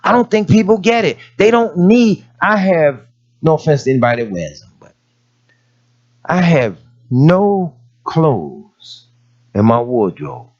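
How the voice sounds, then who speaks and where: A raspy-voiced male preacher whose pitch swings widely, dipping low and climbing high as he drives a point home. He preaches in a folksy storytelling way, and the recording sounds dull and lo-fi. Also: big pitch swings, unhurried, a little mellow. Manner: folksy storytelling preaching, rising to emphatic peaks. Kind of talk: sermon.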